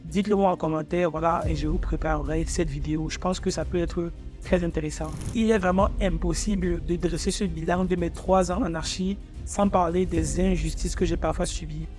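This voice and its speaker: A man's voice: a little high, fairly even intonation, a little fast, few pauses, a little mellow, faint music behind. A man in his twenties from Africa, a native English speaker, talking informally to viewers in a personal student vlog. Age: 20s. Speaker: architecture student presenting his own channel.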